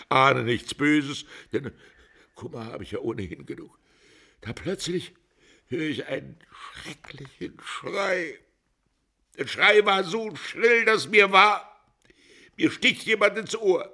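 Gravelly, anguished husband in radio drama. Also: male voice, medium pitch, pushed and bright, heavily acted. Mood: agitated, grief-stricken. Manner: halting, anguished, dropping into breathy near-whispers. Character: distraught, hard-drinking husband questioned over his wife's murder. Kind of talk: German radio drama acting.

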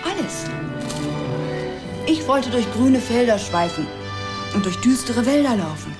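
Dreamy, lively-voiced woman stowaway. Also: fairly high pitch, a little slow, music in the background. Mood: rapturous, dramatic. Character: dreamy, bold female stowaway, theatrically romantic and self-possessed. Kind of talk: German radio play acting.

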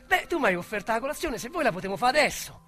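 A woman's voice: a little high, sing-song, racing, a bit bright. An actress playing a tender young woman who playfully chides an old acquaintance in a radio drama. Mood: teasing.

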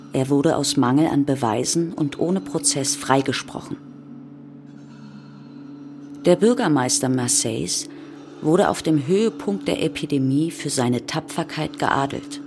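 An actress playing a grave, sober chronicler of a plague in a scripted radio drama. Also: female voice, low-pitched. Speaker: radio play narrator.

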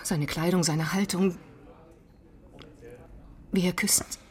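An actress playing a betrayed, determined stewardess in a naturalistic radio drama, speaking in short, controlled sentences. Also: female voice, on the low side, steady tone, slow, darkish tone. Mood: insistent, determined. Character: betrayed, determined airline stewardess consulting a detective. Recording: music under the voice.

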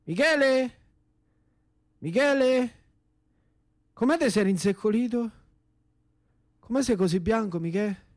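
A man playing a distraught, obsessive Roman civilian haunted by war, repeating himself in a frantic, strained high voice. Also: lively intonation, takes it slowly, long pauses, no room sound, studio quality, exaggerated voice. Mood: excited, pleading. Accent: Roman Italian.

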